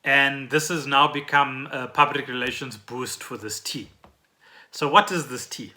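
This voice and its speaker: A man's voice: mid-range voice, measured pace, sharp tone. A South African man hosting a podcast, explaining trending news in a conversational way and often checking in with the listener. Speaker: podcast host.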